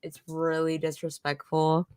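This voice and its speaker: A woman's voice: deep voice, completely flat delivery, a little slow, a little mellow, clear, resonant tone, no echo. Texas woman cohosting, chatty and laughing a lot, dry sound. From Texas, USA. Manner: chatty storyteller, laughs often. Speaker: co-host. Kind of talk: casual chat.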